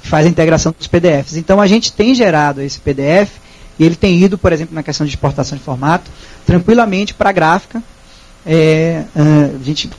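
A man's voice: a little high, a little mellow, husky, low-quality recording. A man in his thirties with a Brazilian Portuguese accent, presenting a technical talk in a small auditorium with a handheld microphone, hesitant and explanatory with frequent restarts. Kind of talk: presentation and audience Q&A.

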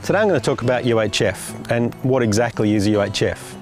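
A man's voice: medium pitch, fast, music in the background. An Australian man in his forties presenting an explainer to camera, stressing key terms. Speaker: company presenter.

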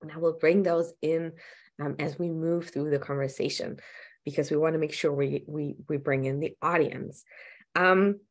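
A woman in her thirties moderating a panel discussion over a webcam, somewhat hoarse, speaking animatedly with rambling questions. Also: sits low, steady tone, moderate pace. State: losing her voice. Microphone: video call webcam.

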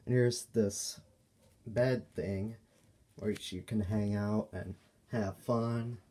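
A man giving a casual, unscripted show-and-tell as a toy reviewer, speaking in halting, broken phrases. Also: fairly low pitch, steady tone, warm tone, a little hiss or hum. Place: indoors at a table by a window.

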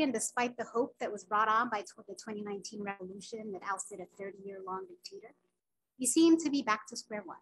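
A woman's voice: a little high, a bit bright, poor audio. A woman from Sudan, Arabic her first language, giving an earnest academic lecture over a video call. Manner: earnest, personal storytelling with frequent filler words. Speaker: physician giving a grand rounds lecture.

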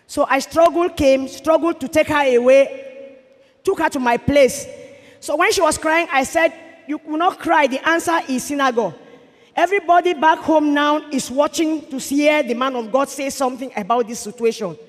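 A woman's voice: high voice, moderate pace. A middle-aged Cameroonian woman recounts events as an earnest witness. She talks into a microphone held out to her, and her voice carries long reverb from a large church hall. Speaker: witness testifying for a friend. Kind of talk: earnest narrated testimony. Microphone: handheld microphone held for her.

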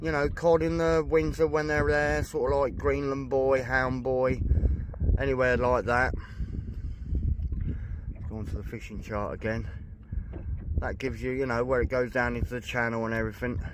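A man's voice: medium pitch, little pitch movement, moderate pace, long pauses. A man on a small boat outdoors, giving a casual, rambling review of his chart plotter, with fillers and self-corrections. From England. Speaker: fishing vlogger. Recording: outdoor noise on the water.

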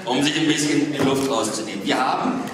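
A man's voice: mid-range voice, brisk. A man casually moderating a panel on stage at a fan convention. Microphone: handheld mic, heard from far camera. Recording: echoey hall from far off, audience noise.

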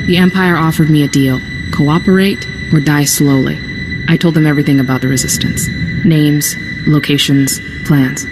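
A woman's voice: low-pitched, steady tone, moderate pace. A woman acting a guilt-ridden, frightened resistance informant in a video game, tense and remorseful. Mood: defensive, remorseful. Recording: long reverb, music behind.

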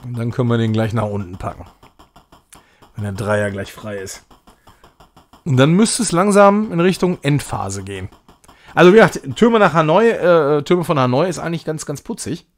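A man's voice: fairly high pitch, lively intonation, moderate pace. A man hosting a game stream, chatting casually and rambling as he thinks aloud while he plays. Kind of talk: casual live gaming commentary with chat.

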